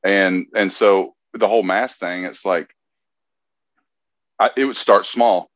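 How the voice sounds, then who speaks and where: A man's voice: deep voice, fairly even intonation, a bit bright, no echo, dull audio. A man from the United States, a guest in a casual podcast chat, who talks earnestly and keeps restarting his sentences. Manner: halting, restarts often, earnest.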